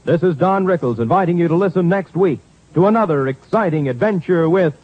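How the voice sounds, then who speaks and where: A man's voice: fairly high pitch, expressive, a little fast. A man announcing scripted credits in the style of 1950s radio. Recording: dull lo-fi old radio recording.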